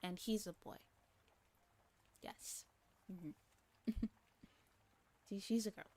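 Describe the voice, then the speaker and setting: A woman reading a story aloud softly as a streamer, in a breathy ASMR style that slips into whispers. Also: medium pitch, extremely slow. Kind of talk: soft-spoken ASMR narration with chat asides.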